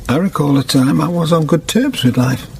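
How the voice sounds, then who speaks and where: An actor playing a solemn, wounded middle-aged former hermit, speaking gravely and reflectively in a period radio drama. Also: male voice, on the high side, moderate pace, darkish tone, slight background noise. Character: solemn, wounded older weaver, once a hermit.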